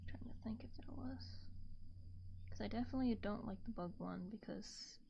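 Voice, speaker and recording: A woman streamer chatting casually in a soft, breathy, often whispery ASMR style, gently rambling close to a binaural microphone. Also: medium pitch, moderate pace, dull audio. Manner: gentle, rambling, reading chat aloud.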